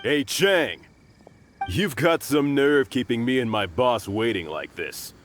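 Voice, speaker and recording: An actor playing a bullying, mocking thug who jeers and laughs at others. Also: male voice, mid-range voice, animated, takes it slowly, slight background noise. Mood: threatening. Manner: mocking, cackling. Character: sneering adult male thug, bullying.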